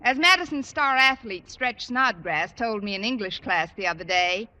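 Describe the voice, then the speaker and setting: An American actress playing a wry, quick-witted single English teacher in a 1950s radio comedy, with dry, wisecracking delivery. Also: female voice, mid-range voice, animated, bright, forceful tone. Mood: wry, chatty. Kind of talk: 1950s radio sitcom acting. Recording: muffled old radio recording, hissy, with clicks.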